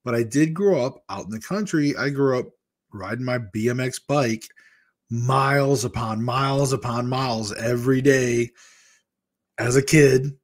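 A man's voice: mid-range voice, unhurried. A man in his fifties from Michigan, hosting a casual live chat with his viewers from a small room at home and speaking into a desk microphone. He talks candidly and rambles from one story to the next. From Michigan, USA. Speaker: weight-loss vlogger hosting a live chat. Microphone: large desk microphone in front of a webcam.

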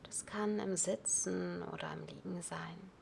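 A woman yoga teacher softly whispering a guided relaxation, calm and unhurried. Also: fairly low pitch, vocal fry, background noise. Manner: soft whispered, slow and calm. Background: ocean waves.

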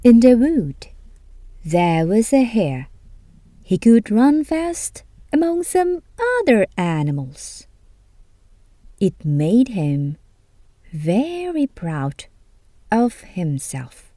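A woman narrating a children's story from a script, reading deliberately phrase by phrase. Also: mid-range voice, big pitch swings, dark tone.

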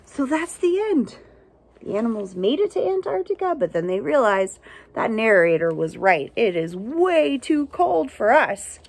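Young woman doing a storytime outside, unhurried, kinda husky, chats to the kids as she goes. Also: on the high side. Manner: expressive, addressing young listeners with simple questions. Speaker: librarian reading a picture book aloud to children. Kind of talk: animated children's read-aloud with chatty asides. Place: outdoors under a shelter among pine trees.

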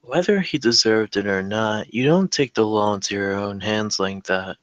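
A man's voice: on the low side, normal speed, clear, resonant tone, no echo, highs cut off, natural voice. A man playing a weary, principled working mother in a plain, subdued drama script reading. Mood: stern. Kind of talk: table read of a TV drama script.

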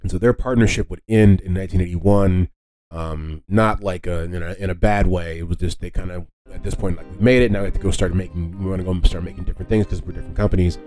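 A man with a deep, mellow voice hosts a solo podcast. He talks quickly in a casual, explanatory way, often drifting into rambling asides as he goes. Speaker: podcast host.

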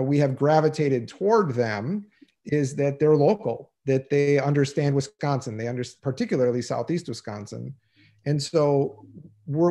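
A man lays out a timeline in a steady, mid-pitched voice. He sounds like a school administrator in a formal meeting, hedging with many "uh"s. His voice comes through a laptop mic on a video call from a home office. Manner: measured, hedging with many fillers.

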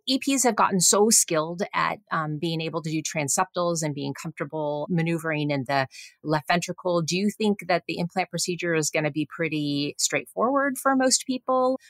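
A woman's voice: low-pitched, no echo. A woman hosting a professional medical interview in a conversational style. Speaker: podcast host, physician.

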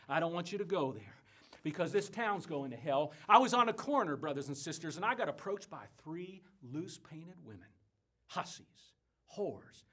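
A man's voice: a little high, fairly bright tone, husky. An actor playing a fiery conman revivalist preacher in a theatrical stage monologue, bombastic and folksy, moving between confiding and exhorting. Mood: scandalized. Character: fiery conman revivalist preacher crusading against booze and sin.

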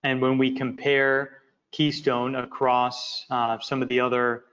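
A man walking through presentation slides for an investment project, talking in a halting way with many fillers and repeated words. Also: mid-range voice, level tone, fairly bright tone, clean tone. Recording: muffled lo-fi audio.

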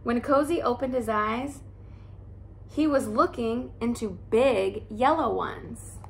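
Slow gravelly woman reading a storybook. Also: a little high. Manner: soft, cozy storytelling. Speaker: teacher reading aloud. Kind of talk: picture-book read-aloud. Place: living room by a fireplace.

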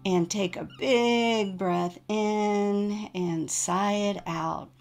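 A woman guiding a relaxation meditation as a yoga nidra teacher, in a gentle, soothing manner with unhurried pauses. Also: mid-range voice, steady tone, gravelly.

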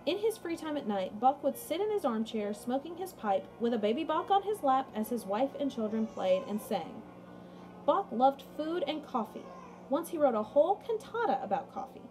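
A woman's voice: sits high, very animated, moderate pace, darkish tone, poor audio. A young woman teaching music in a read-aloud lesson for children, explaining and chatting directly to her pupils. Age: young adult. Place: small indoor room at home.

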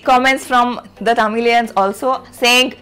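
A woman's voice: fairly high pitch, moderate pace, clean tone. A young woman with an Indian English accent, vlogging to camera in a chatty way that repeats itself, filmed in a small room at desk distance. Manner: chatty, repeats herself, lively hand gestures. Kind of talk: casual direct-to-camera vlog.

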